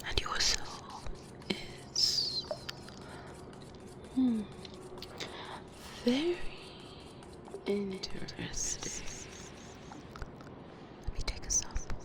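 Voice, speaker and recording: Woman as a very slow, whispering soul-eater. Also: fairly high pitch, expressive, heavily acted. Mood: fascinated, hungry. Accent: Australian. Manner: hushed, lingering, hesitant pauses. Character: alluring female soul-eater doctor, eerily fascinated. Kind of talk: whispered ASMR medical roleplay. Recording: loud music under the whispered voice. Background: loud music.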